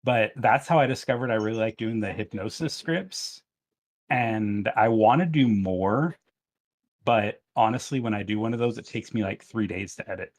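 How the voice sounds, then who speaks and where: A man's voice: on the low side, normal speed. A man chatting casually as a podcast guest in a matter-of-fact way. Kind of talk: casual chat.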